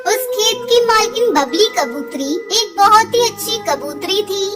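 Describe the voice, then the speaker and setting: A woman's voice: very high voice, lively intonation, unhurried, loud music behind the voice. A woman narrating a scripted children's story.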